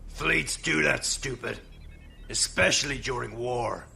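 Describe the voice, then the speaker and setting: A man playing a gruff, sarcastic veteran gunnery crewman in an audio drama. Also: medium pitch, a little slow, sharp tone, full character voice. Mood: scornful, bored. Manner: gruff, scornful, dry.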